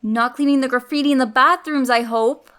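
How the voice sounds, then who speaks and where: A woman's voice: sits high, steady tone, fairly quick, fairly bright tone. A woman playing a cheeky, wisecracking sidekick, flippant and wry, in a comic sci-fi audio drama. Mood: wry, teasing.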